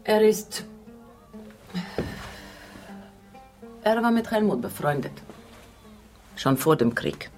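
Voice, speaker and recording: A guarded woman in a radio drama, answering dismissively. Her voice sits at a middle pitch, with music playing beneath it. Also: sing-song, a little slow, darkish tone. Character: guarded Ukrainian woman, murdered man's girlfriend.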